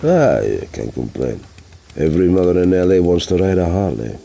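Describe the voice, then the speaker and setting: A deep, roughened voice for an older Greek mechanic in a novel, acted out by a male narrator. He has a Greek accent and speaks like a relaxed, friendly host to an old pal. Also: normal speed, exaggerated voice. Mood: contented. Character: genial, relaxed Greek immigrant mechanic, silver-haired older man.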